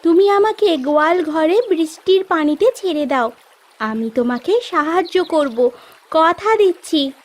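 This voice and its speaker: A kind little magical fish from a cartoon, acted by a woman. It speaks very high and slowly, with gentle, wise reassurance. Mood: gentle, reassuring. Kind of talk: cartoon voice acting.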